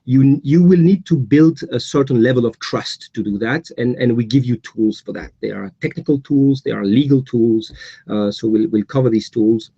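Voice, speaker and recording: A man's voice: medium pitch, normal speed, creaky, warm tone, husky, no echo, dull audio. Hesitant Belgian man presenting a webinar. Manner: hesitant, many repeats and fillers. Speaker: guest speaker.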